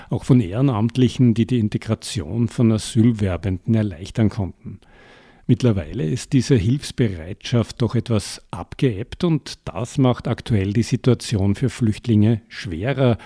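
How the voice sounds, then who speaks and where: A man's voice: fairly low pitch, mellow, breathy edge. A man with an Austrian German accent hosting a community radio show, introducing topics and interviewing a guest in the studio, often hesitating with fillers as he asks questions. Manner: hesitant with many fillers when asking questions. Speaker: radio host.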